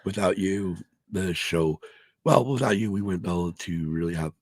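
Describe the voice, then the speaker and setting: A man co-hosting a casual podcast, hoarse from allergies, who rambles, restarts and swears a lot. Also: low voice, normal speed, darkish tone, no echo, studio quality. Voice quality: gravelly, husky. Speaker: podcast co-host. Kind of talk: casual chat.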